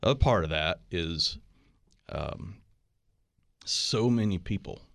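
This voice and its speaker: A man's voice: deep voice, expressive, takes it slowly, fairly bright tone, no echo. A man hosting a casual talk radio show in a conversational, jovial and teasing way. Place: radio studio.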